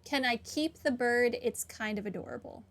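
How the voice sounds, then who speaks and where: A woman's voice: on the high side, expressive, normal speed, a little hiss or hum. A young woman giving casual commentary as she plays a game. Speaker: gaming video host.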